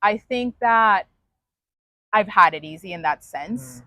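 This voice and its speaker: A woman's voice: mid-range voice, expressive, slow pace, sharp tone, no room sound. A woman speaking frankly and casually in an interview, swearing now and then. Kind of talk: candid interview.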